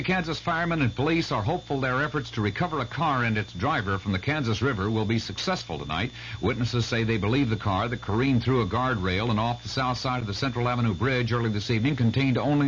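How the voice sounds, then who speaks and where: A man reading the local radio news as anchor in a brisk, clipped way. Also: mid-range voice, fairly bright tone. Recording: muffled old radio broadcast with faint hiss.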